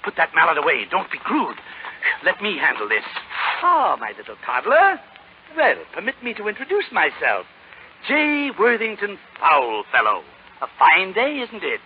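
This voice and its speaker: A man's voice: high-pitched, wildly swooping pitch, moderate pace, slight background noise. An actor playing a sly fox con man, pompous, florid and wheedling, in 1930s radio style. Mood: flattering. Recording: muffled 1930s radio broadcast recording. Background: music.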